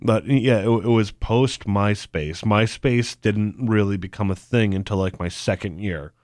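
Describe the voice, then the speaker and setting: A man's voice: lowish voice, a little mellow, dry recording, clean studio sound. Congested man, rambling with restarts. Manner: rambling, halting with many restarts. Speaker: podcast co-host. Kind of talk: casual chat.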